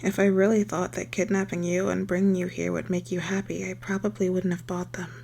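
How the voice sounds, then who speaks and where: A woman playing an unstable, lovesick young circus girl turned kidnapper in an intimate ASMR roleplay, speaking haltingly in a breathy voice that slips into whispers. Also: lowish voice, level tone, a little mellow, slight background noise. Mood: defeated, quiet. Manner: intimate, halting, stammering, swinging from sweet to desperate. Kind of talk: scripted ASMR roleplay monologue.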